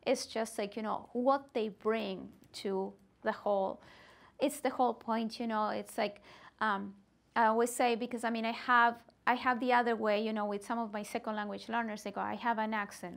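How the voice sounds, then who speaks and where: Animated woman presenter, gravelly voice. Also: fairly high pitch, normal speed. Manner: animated, gestures while talking, emphatic. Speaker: workshop presenter. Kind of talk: workshop discussion. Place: meeting room indoors.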